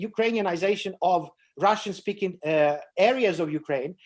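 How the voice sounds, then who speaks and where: A man moderating an online discussion over a video call, speaking hesitantly with many fillers and restarts. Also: high voice. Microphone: computer mic on a video call.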